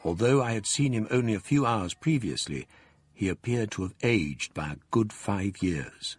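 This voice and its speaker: A man narrating his own past in a measured, storytelling way, as in a radio drama. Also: fairly low pitch, animated, slightly rough, no room sound, soft background music. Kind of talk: scripted first-person narration in a radio drama.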